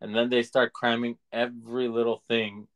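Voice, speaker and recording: A man co-hosting a podcast, chatting casually about movies in a laid-back, halting way. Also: mid-range voice, flat delivery, fairly bright tone. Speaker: podcast co-host.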